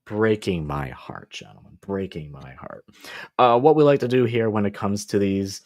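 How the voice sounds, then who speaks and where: Low-voiced man chatting about comics. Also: animated, normal speed, a little mellow, no echo. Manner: laid-back, rambling, joking. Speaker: comic book podcast host. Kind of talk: casual comic review chat.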